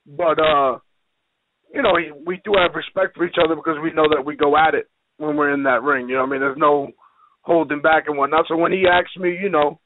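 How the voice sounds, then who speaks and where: A man's voice: a little high, steady tone, fairly quick. A man giving a casual interview as a professional wrestler, blunt, streetwise and full of slang, over a phone line. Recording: hissy, noisy, tinny phone line.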